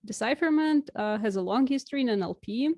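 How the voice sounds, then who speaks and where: A young woman whose first language is Russian gives a recorded academic lecture over slides in a clear, measured way, with occasional fillers. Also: fairly high pitch, expressive, a little mellow, no echo, clean studio sound. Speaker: researcher giving a lecture.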